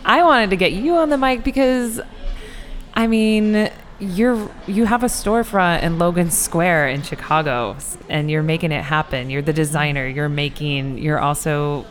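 A woman's voice: on the low side, moderate pace, soft background music. A woman interviewer, chatty and a bit rambling, who often adds likes and laughs at herself. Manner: chatty, rambling, self-deprecating, lots of likes. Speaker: podcast interviewer. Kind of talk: casual interview.

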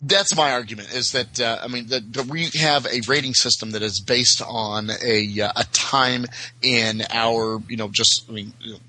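A middle-aged man in casual conversation, haltingly thinking aloud as a podcast guest. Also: mid-range voice, bright, forceful tone, poor audio. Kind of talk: casual chat about TV.

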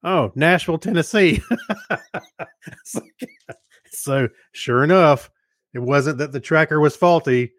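A man's voice: on the high side, measured pace, long pauses. A middle-aged man chatting casually as a podcast co-host, telling things in a rambling, good-humored way and quoting his own thoughts. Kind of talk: casual chat.

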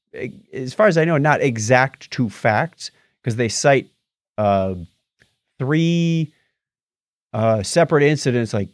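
A man's voice: medium pitch, no room sound, studio quality. A middle-aged man co-hosting a podcast, chatting casually and wryly about films and TV shows, often poking fun at himself. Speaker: podcast co-host.

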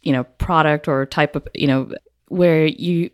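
A woman's voice: low-pitched, steady tone, unhurried, clear, resonant tone, no room sound, clean studio sound. A woman from Singapore, a business owner, answering interview questions eagerly and chattily. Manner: eager, chatty, lots of fillers.

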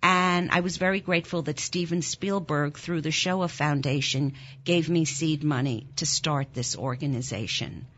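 A woman in her sixties, born in Germany with German as her first language, speaks low and earnestly as an interview guest. Her telling is intense and climbs high when the feeling takes over. The sound is a little dull and muffled. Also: normal speed. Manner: earnest, intense, rises sharply when moved. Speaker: interview guest, nonprofit founder. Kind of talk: emotional personal storytelling in an interview. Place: Philadelphia.

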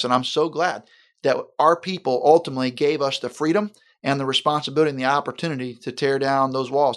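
Earnest pastor, measured and deliberate. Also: male voice, mid-range voice, fairly bright tone. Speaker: senior pastor. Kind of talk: conversational interview.

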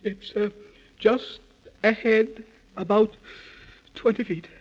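An actor playing a polite, drowsy, muddled creature, halting and trailing off, in a 1930s children's radio serial. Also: male voice, high-pitched, steady tone. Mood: sleepy. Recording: dull vintage 1930s radio recording.

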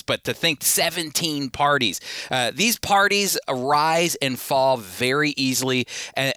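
A man's voice: mid-range voice, bright, forceful tone, clear, resonant tone, dry recording. A man hosting and teaching the Bible in a conversational, earnest, emphatic way, speaking directly to listeners. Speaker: radio Bible teacher and host.

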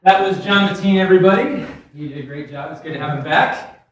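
A woman's voice: fairly low pitch, a little fast, a little hiss or hum. A woman hosting a live comedy show with casual, bantering talk. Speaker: variety show host. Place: indoors, live event in a store. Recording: echoey live room, distant mic.

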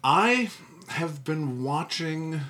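A man with a bright, forceful voice talks casually as a podcast guest. His pitch moves around a lot as he delivers wry, mock-dramatic remarks. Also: lively intonation, extremely slow.

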